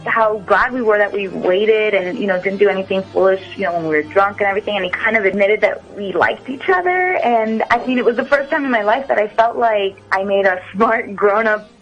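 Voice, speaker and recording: A woman's voice: medium pitch, fairly quick, hardly any pauses, faint music behind. A young woman calling in to a radio show, telling a personal story casually and hesitantly. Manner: hesitant, many "like" and "you know". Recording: dull phone line into radio.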